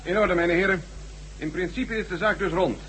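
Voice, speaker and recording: A man's voice: high-pitched, normal speed, fairly bright tone, slight background noise. An actor playing a formal male council chairman, speaking in a businesslike way in an old radio play. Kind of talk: 1970s radio drama acting.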